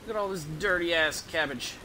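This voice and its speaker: A man's voice: on the high side, a little fast, sharp tone, a little hiss or hum, rough recording. A man giving casual, rambling gameplay commentary in a hesitant, profanity-prone way. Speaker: game streamer.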